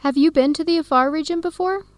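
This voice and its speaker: Woman acting an earnest young student, higher-pitched voice. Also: fast, very noisy, lightly acted. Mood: friendly, upbeat. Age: young adult. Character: Helen, young Ethiopian-American adoptee, eager, earnest student researcher. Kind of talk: radio drama dialogue for English learners.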